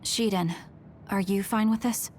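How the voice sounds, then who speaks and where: Withdrawn young female soldier played by a woman, video game cutscene, unhurried, halting, middle register. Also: medium pitch, fairly even intonation, a little mellow, slight background noise, lightly acted. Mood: composed.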